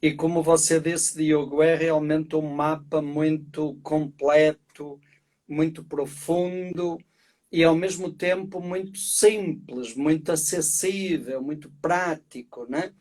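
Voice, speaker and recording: A middle-aged man from Portugal, a priest, explaining calmly and reflectively as a guest on a live video call. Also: a little high, slow. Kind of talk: live-stream conversation.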